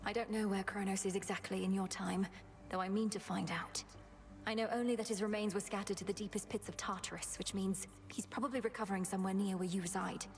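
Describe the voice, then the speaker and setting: A woman's voice: medium pitch, steady tone, quick pace, lightly acted. Woman acting determined, earnest young princess. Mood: earnest resolve. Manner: earnest, formal, urgent. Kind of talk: video game dialogue acting.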